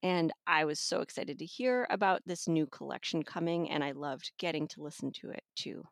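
Lower-voiced woman discussing books, close dry sound. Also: moderate pace, gravelly, dry recording. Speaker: audiobook reviewer and podcast guest. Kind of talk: casual book discussion.

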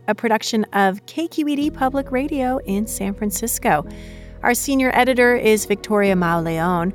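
A woman hosting a radio magazine, reading scripted announcements. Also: medium pitch. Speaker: radio program host. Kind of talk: scripted show credits.

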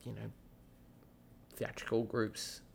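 A man speaks in a relaxed, rambling way, his Australian accent toned down. His voice has a gravelly fry to it, and his sentences drift through frequent fillers and restarts. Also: lowish voice, slow pace, a little hiss or hum. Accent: Australian, softened. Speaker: guest, actor and school theatre teacher. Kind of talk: casual podcast chat.

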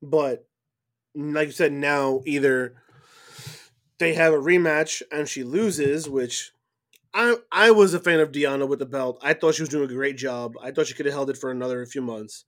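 A man's voice: on the high side, normal speed, fairly bright tone. A man co-hosting a podcast, talking casually in an earnest way, often hesitating and repeating words. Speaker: podcast co-host. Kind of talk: casual chat.

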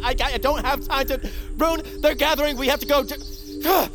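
An actor playing an earnest, peaceable young tribesman in an audio fiction drama, halting and pleading in manner. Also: extremely high pitch. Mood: urgent.

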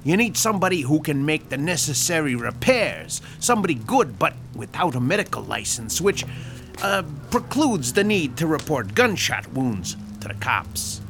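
An actor playing a gruff 1930s Chicago mob boss with a Chicago accent, swearing and barking orders. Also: male voice, fairly high pitch, very animated, moderate pace, fairly bright tone. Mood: sly hospitality. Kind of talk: audio drama acting. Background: music score.

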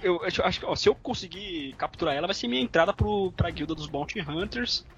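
A man's voice: sits high, expressive, fairly quick. A man with a Brazilian Portuguese accent, chatting casually on a podcast and retelling a story with lots of fillers. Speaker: podcast co-host. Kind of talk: casual chat recapping episodes. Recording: muffled recording with faint music.

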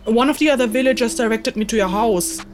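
A woman's voice: fairly high pitch, quick pace, faint music behind, close to an everyday voice. A woman playing a serious, blunt young furred wanderer with a boyish air in an audio drama, matter-of-fact and a little awkward. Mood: polite, awkward.

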